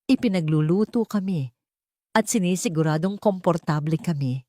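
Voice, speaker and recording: A woman's voice: lowish voice, normal speed, warm tone, dry recording, studio quality, lightly acted. A woman voicing a loyal older female servant, respectful and wistful, in an audio story. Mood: wistful, hopeful. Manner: respectful, earnest.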